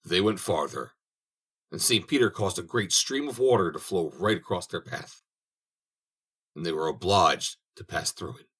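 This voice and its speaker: A man's voice: low-pitched, normal speed, breathy edge. A man reading a fairy tale aloud as an audiobook narrator, telling it expressively. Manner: expressive storytelling. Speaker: audiobook reader.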